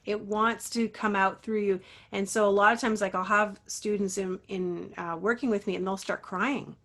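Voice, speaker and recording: A woman's voice: mid-range voice, steady tone, moderate pace, fairly bright tone. A woman voice teacher and coach, an interview guest who talks earnestly and encouragingly, with lots of casual fillers. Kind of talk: interview talk.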